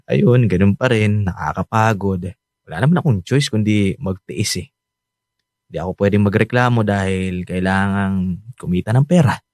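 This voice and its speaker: A man's voice: lowish voice, measured pace, darkish tone, no echo, clean studio sound, natural voice. A man playing a tired, needy young husband craving his wife's attention in a Filipino radio drama. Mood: weary.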